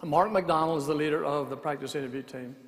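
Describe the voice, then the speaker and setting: A man with a raspy voice giving a talk as guest interview trainer, with dry humor. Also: on the high side, fast talker. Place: event hall. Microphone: lavalier. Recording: reverberant event hall.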